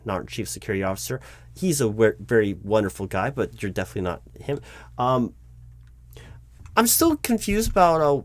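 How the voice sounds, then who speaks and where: Guy acting as a nervous hr recruiter man in an interview roleplay, kinda low voice, stumbles over his words, polite but baffled. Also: lightly acted. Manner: hesitant, stammering, halting. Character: nervous, baffled male corporate recruiting manager, polite and earnest. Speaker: roleplay performer. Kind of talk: one-sided audio roleplay of a job interview.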